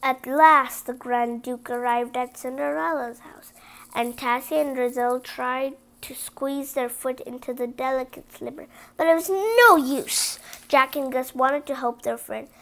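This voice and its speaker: Woman reading a kids storybook out loud, high voice, really slow, bit stumbly. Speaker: storybook reader. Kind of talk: reading a children's story aloud.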